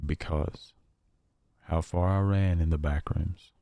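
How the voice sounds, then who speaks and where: A haunted, paranoid survivor, acted by a man, leaves a horror audio log in a very low, dark voice. He speaks in halting, confessional phrases with long pauses, keeping to a monotone. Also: dry recording. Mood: weary, reflective. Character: shaken, paranoid male survivor of a nightmare realm.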